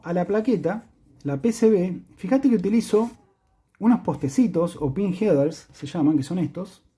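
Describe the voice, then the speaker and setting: An Argentine man gives a hands-on tutorial in a husky, mellow voice. He explains things practically and keeps checking that the listener follows. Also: a little high. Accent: Argentine Spanish. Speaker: electronics tutorial presenter.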